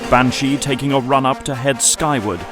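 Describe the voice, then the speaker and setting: Male drama narrator over loud music. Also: mid-range voice, steady tone, normal speed.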